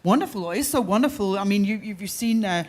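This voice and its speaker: A woman's voice: medium pitch, expressive, a little fast, a little mellow. Chatty Danish woman with a light laugh. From Denmark. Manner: chatty, laughing, many restarts. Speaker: panelist, newspaper correspondent. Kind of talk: panel Q&A answer. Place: on stage before an audience.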